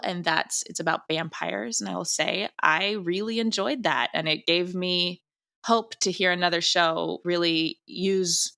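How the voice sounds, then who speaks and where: A woman's voice: on the low side, gravelly, pushed and bright. A woman chatting casually and informally in an interview as a guest who creates and directs audio drama.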